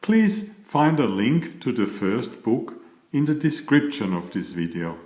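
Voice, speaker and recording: A man narrates a picture book for young children in a roomy, echoing space. His delivery is slow and deliberate, with a lively, expressive rise and fall in his pitch.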